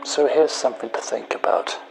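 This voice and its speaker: A man playing a bitter, betrayed man leaving a cold, accusing message in an audio drama, in a hushed, deliberate, taunting manner. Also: mid-range voice, vocal fry, music in the background, lightly acted, rough recording. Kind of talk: dramatic audio story monologue.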